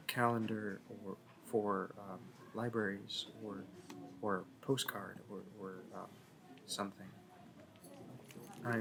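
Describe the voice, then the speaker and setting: A man's voice: on the low side, rough recording. A man playing a shy, smitten young library worker in a roleplay audio, nervous and awkward, stammering and correcting himself. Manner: stammering, hesitant, self-correcting. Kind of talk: intimate roleplay audio acting.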